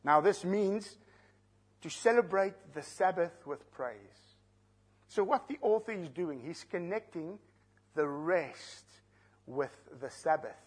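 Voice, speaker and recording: A man, an Afrikaans speaker, teaching a sermon verse by verse, slowing down to stress key words and putting questions to the congregation. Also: high-pitched, expressive, breathy edge. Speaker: preacher. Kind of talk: expository Bible teaching.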